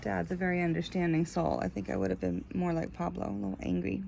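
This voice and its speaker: Creaky-voiced woman reading a storybook aloud. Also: lowish voice, level tone, quick pace, dark tone, a little hiss or hum. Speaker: storybook reader. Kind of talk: expressive read-aloud narration of a children's book, with casual asides.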